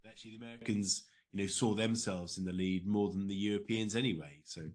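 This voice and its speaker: Low-voiced British man, halting interview talk. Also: fairly even intonation, darkish tone. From United Kingdom. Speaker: interview guest, former diplomat.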